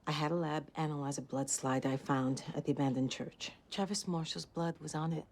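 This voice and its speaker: Secretive senior female cop in TV drama, measured, grave, deep register with vocal fry. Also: fairly even intonation, darkish tone. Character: suspicious, secretive senior policewoman.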